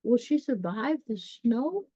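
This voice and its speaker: A woman reading a children's story aloud, pausing dramatically and chatting directly to her listeners. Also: fairly high pitch, sing-song, mellow, no room sound. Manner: dramatic pauses, gasps, talks directly to listeners. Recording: dull, dry lo-fi sound.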